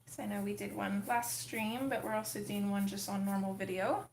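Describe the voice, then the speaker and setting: A woman hosting a casual livestream, chatting with viewers as she colors, her voice a little gravelly from just waking up. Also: mid-range voice, flat delivery, moderate pace, a little mellow, echoey room, slight background noise. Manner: chatty, reads and answers chat comments. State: slightly gravelly morning voice. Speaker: livestream host.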